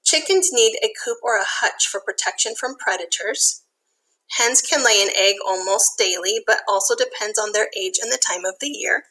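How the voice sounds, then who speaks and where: A woman reading a picture book aloud to young children, expressive, with simple explanatory asides. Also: mid-range voice, moderate pace, low-quality recording.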